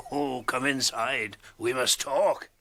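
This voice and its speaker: An actor playing a gruff, hearty older hobbit farmer in a radio drama, folksy and wary of strangers. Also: male voice, mid-range voice, slow pace, exaggerated voice. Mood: folksy, storytelling. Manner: rustic, folksy, chuckling, animated.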